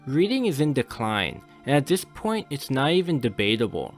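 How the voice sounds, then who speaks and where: A man narrates a scripted video essay with an average to slightly deep voice. His delivery is measured, formal and articulate, with music playing underneath. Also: very animated.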